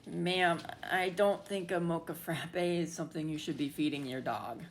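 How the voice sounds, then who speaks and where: A woman's voice: low voice, moderate pace. A woman acting as a polite, firm young barista, earnest and restrained, with faint noise behind.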